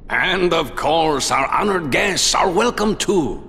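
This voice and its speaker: A man's voice: a little high, expressive, slow pace, creaky, sharp tone, exaggerated voice. A man voicing a courtly, theatrical talking candelabra in a video game, with a formal, flourishing manner. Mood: gracious. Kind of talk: cartoon character in video game. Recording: hall reverb, faint noise.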